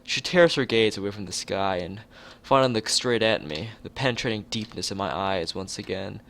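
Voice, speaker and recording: A man reading a story game aloud in an expressive narrating style, occasionally stumbling over words. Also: lowish voice, a little fast. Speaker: let's player reading a visual novel aloud.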